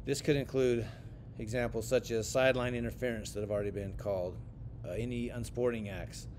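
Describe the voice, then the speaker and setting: An American man giving scripted training narration as an instructor for sports officials, in a measured, instructional way. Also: steady tone, a little mellow. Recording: clear rumbling background noise.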